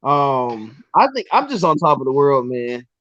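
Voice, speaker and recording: A man in his twenties, a guest on a sports podcast, talking casually and excitedly with lots of restarts and ums. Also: medium pitch, a little slow, no echo, highs cut off. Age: 20s.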